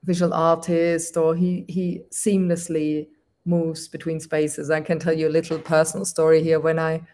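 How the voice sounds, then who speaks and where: A woman's voice: low-pitched, level tone, normal speed, dark tone. A woman with a German accent, hosting a livestreamed discussion in a thoughtful, appreciative manner with frequent restarts.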